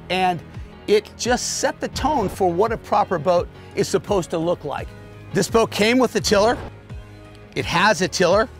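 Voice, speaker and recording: Middle-aged male host, upbeat casual boat-tour delivery, a bit high, faint music under. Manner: enthusiastic, talks while pointing and moving. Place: aboard a sailboat in a marina, on deck and below.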